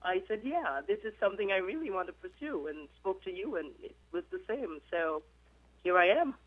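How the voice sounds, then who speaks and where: A woman's voice: mid-range voice, expressive, normal speed. A middle-aged woman from Guyana, a minister calling in to a radio interview and telling her life story in a reflective way. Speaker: guest, minister and author. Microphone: telephone. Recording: tinny phone line.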